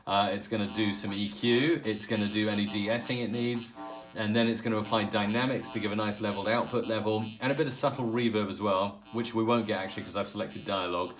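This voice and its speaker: Low-voiced man giving a casual tutorial. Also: steady tone, fast talker, faint music behind. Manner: informal, explains step by step while working. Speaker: audio producer giving a software tutorial. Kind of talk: casual hands-on tutorial talk.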